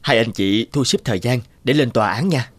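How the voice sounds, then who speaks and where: A man voicing a polite, firm social worker in a cartoon, formal and measured. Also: medium pitch, dry recording, natural voice. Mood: businesslike. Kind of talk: animated cartoon dubbing.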